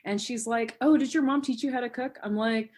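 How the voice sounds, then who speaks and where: A woman's voice: fairly high pitch, a little fast, a little mellow. A woman chatting as a guest on a podcast, laughing often and acting out what others said. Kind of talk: casual podcast chat.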